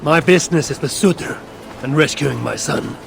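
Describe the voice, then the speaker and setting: A man's voice: on the high side, normal speed, creaky, background noise. A man playing a stern, proud Norse god and father in a video game, speaking formally and tersely. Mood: determined. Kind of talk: video game cutscene acting.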